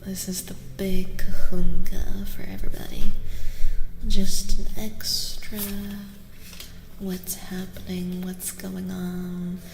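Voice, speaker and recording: A woman streaming soft-spoken ASMR as a tarot reader, chatting to viewers in an unhurried murmur that often slips into whispers. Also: on the low side, monotone, gravelly. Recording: roomy reverberant echo, faint noise.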